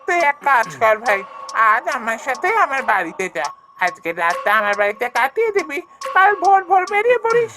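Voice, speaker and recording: A woman voicing a scheming, greedy male woodcutter in a children's fairy-tale cartoon, in an animated, exclamatory manner. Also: high voice, a little fast, noisy background. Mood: coaxing.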